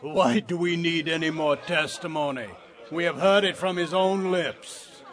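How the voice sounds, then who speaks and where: A man's voice: fairly high pitch, measured pace, over a noisy crowd, voice pushed up, lightly acted. A man reading Scripture aloud and voicing a condemned criminal in an earnest, firm way. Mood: dismissive. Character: grave male condemned criminal, sober and earnest.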